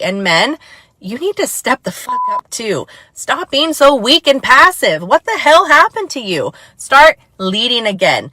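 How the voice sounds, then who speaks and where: A woman's voice: medium pitch, pushed and bright, dry recording. A woman reading a scripted commentary voice-over in a blunt, lecturing way. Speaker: commentary channel narrator.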